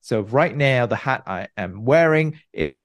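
A man from Malaysia in his thirties, a guest researcher giving measured, explanatory interview answers with a few restarts. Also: mid-range voice, expressive, no echo, studio quality.

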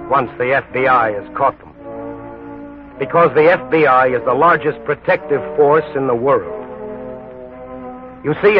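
Solemn American narrator on tinny old radio. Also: male voice, medium pitch. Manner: solemn, dramatic, emphatic pauses. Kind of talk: 1940s radio scripted narration. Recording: tinny 1940s radio recording, music behind. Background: music.

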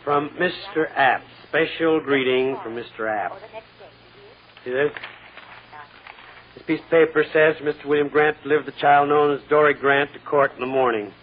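An actor playing a brusque, callous process server in an old radio drama, talking in a curt, slangy, offhand way. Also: male voice, a little high, fairly even intonation, moderate pace, close to an everyday voice. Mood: smug, offhand. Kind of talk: 1940s-50s radio drama acting. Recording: tinny, narrow-band old radio recording.

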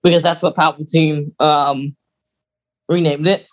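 A man with a fairly high-pitched voice co-hosts a podcast. He talks casually, like a fan explaining fine details, and often halts as he works through them. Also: flat delivery, measured pace. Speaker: podcast co-host.

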